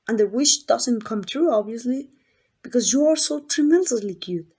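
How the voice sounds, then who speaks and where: Girl doing asmr yandere kidnapper lady, kinda high voice, super clingy and affectionate, coaxing her captive. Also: lively intonation, moderate pace, warm tone, slightly rough. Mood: gushing affection. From Mexico. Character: doting, obsessive yandere woman kidnapper comforting captive. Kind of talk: ASMR roleplay acting.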